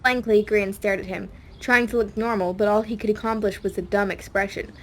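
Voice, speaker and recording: A woman's voice: medium pitch, steady tone, a little fast, a little hiss or hum. A woman reading a scripted audiobook story as a dramatic narrator.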